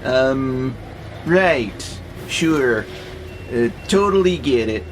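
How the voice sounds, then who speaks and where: Audio drama casting: man as compliant freight robot, literal and nitpicking, average male register, steady background noise. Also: medium pitch, drawn-out words. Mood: hesitant. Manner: pedantic, matter-of-fact. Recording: clear steady background noise, vehicle-like hum.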